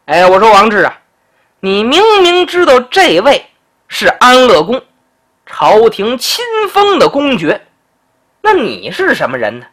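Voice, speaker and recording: A storyteller voicing a clever male official who speaks quickly in a sharp, scolding, lecturing way. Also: high-pitched, wildly swooping pitch, exaggerated voice.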